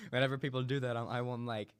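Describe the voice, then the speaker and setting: A man's voice: mid-range voice, steady tone, fast, clear, resonant tone. A young man hosting a casual comedy chat, laughing often and rambling with many fillers. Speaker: host.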